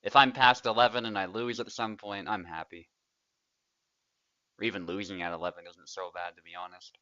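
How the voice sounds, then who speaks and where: A man's voice: on the low side, brisk, dry recording, highs cut off. A young man, casually commentating a tournament he is also playing in, chatty and laughing often. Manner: relaxed, chatty, laughs often, trails off quietly.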